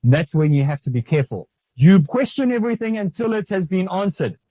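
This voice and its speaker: Male host of a faith series, slightly high and mellow, who preaches with insistent repetition. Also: very animated, a little fast, no echo. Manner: emphatic, repetitive, urging the listener. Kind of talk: preaching religious talk.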